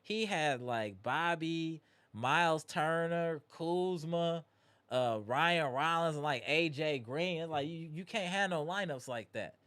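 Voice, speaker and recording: A man hosting a live postgame basketball reaction stream, animated and greeting viewers in the chat, his voice strained from yelling. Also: on the high side, slow pace, clean tone, dry recording. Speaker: livestream host.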